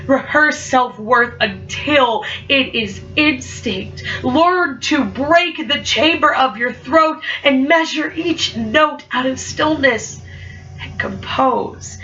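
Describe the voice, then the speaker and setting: A woman's voice: high-pitched, slow, bright, forceful tone, faint music behind. A young woman performing spoken word poetry, speaking with a stutter and an emphatic, rhythmic delivery. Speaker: poet. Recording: muffled, from far off.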